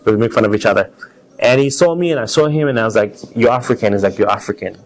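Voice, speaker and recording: A man's voice: lowish voice, fast, poor audio. A man speaking as an interview guest, telling personal stories in an earnest, conversational way with an easy readiness to chuckle. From Zimbabwe. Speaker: interview guest, car designer.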